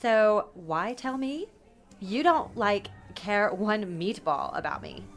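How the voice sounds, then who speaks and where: A woman performs a nasty beauty pageant queen in a comedic audio drama. She is haughty and sneering, with slow, drawn-out phrasing that swoops widely in pitch. Her talk is sprinkled with "like". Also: animated, soft background music. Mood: disdainful. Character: snobbish, nasty female beauty pageant contestant.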